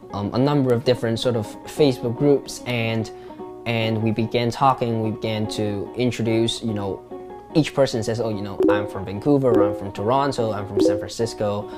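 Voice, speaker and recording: A man's voice: lowish voice, steady tone, fairly quick. A man giving a casual personal account as an interviewee, often repeating phrases as he goes. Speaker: interviewee telling his story. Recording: loud plucked guitar music over voice. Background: guitar music.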